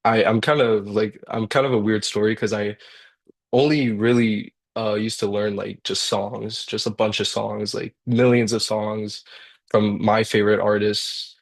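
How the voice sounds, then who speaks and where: A young man from Colombia, a guitarist and songwriter, talking casually in a workshop over a video call and pausing often with fillers and restarts. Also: on the low side, level tone, gravelly. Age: young adult. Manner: halting, many fillers and restarts.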